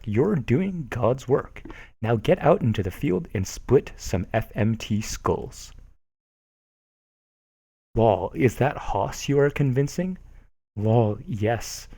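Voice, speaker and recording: Brief: young male streamer, low voice, lively up-and-down delivery, chuckles through forum readouts. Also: dry recording. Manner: amused, chuckling asides between read lines. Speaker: streamer reading forum posts. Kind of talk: reading posts aloud with casual commentary.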